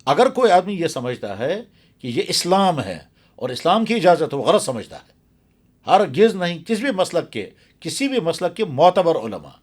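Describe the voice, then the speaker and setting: Earnest male cleric, slightly high voice. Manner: earnest, emphatic, repeats phrases for stress. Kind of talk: explanatory religious talk in an interview.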